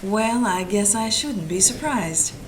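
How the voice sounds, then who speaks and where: A woman's voice: mid-range voice, lively intonation, slow, slight background noise, rough recording. A woman playing a tough, wisecracking female private detective in a community radio play, blunt and hard-boiled. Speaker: actor in community audio drama.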